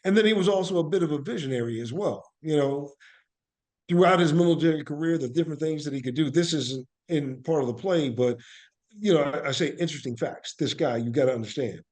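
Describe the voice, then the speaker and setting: An American man speaking as an interview guest, telling stories in an animated, emphatic conversational way and often checking that the listener follows. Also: on the high side, fast. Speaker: guest, actor and playwright.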